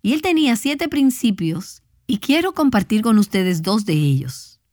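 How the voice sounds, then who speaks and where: A woman's voice: mid-range voice, lively intonation, measured pace, darkish tone, dry recording. Female Bible teacher for a devotional talk; earnest, exhorting delivery, mid-range voice, close dry sound. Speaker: Bible teacher, conference speaker.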